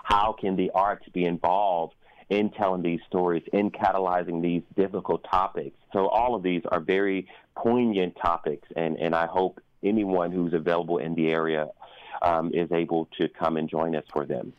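A man with a deep voice talks in an earnest, reflective way, as a guest in an interview. He pauses and doubles back on phrases while he thinks. The sound is close and dry but dull and lo-fi. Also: flat delivery, no room sound. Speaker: guest, performer, teacher and activist. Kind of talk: earnest interview conversation about community events.

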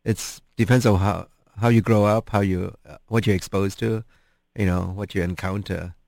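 A man with a very deep voice hosts a relaxed interview. The sound is close and dry. He talks thoughtfully, pausing on ums as he searches for words. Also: creaky, no echo. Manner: thoughtful, hesitant, many ums. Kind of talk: casual interview chat.

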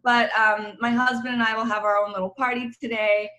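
A woman from Croatia, a novelist guest on a radio interview by phone, chatty and animated, laughing often. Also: on the high side, brisk, reverberant, poor audio. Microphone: phone call.

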